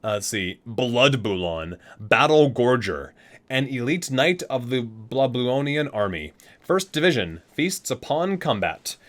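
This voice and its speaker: A man's voice: mid-range voice, big pitch swings, a little slow, a bit bright. A man reading aloud in an ASMR style, stumbling over words and correcting himself between casual asides. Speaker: ASMR video host.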